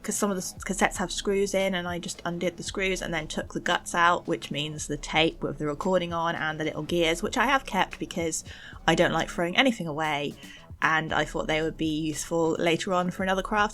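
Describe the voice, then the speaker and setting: Youngish woman chatting over a craft video, lowish voice, bit of music behind her. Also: a little fast, fairly bright tone. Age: young adult. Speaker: host of an art channel. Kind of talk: casual craft voice-over narration. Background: faint background music.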